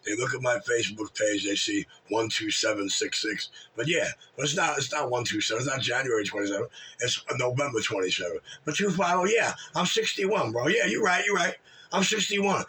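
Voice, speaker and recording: A man's voice: on the high side, bright, forceful tone, slight background noise. A man, bragging and trash talking profanely in casual chat. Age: 60s. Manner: brash, boastful, profane trash talk. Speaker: regular on a call-in chat room show. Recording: distant mic.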